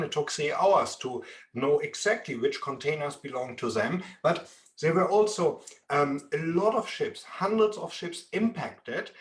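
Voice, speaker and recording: A man with a strong German accent, explaining at a measured pace in an expert interview, with frequent ums. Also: medium pitch, fairly bright tone. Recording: roomy echo.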